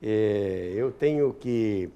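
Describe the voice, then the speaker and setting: A man's voice: lowish voice, very slow, dark tone, smooth, muffled recording. A man in his seventies with a Brazilian accent, reminiscing in an interview in an emphatic, conversational way. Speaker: interview guest, radio veteran and author.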